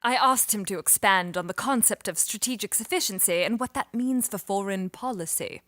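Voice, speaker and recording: An actress playing a serious, composed news correspondent with an American accent, giving a scripted report in a radio drama. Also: female voice, mid-range voice, moderate pace, fairly bright tone, dry recording, close to an everyday voice.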